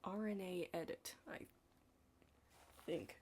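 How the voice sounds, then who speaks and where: A young woman chatting casually in an ASMR study roleplay, rambling and hesitant, hushed and slipping into whispers. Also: fairly low pitch, takes it slowly, slight background noise. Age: young adult. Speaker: ASMR creator playing a study partner.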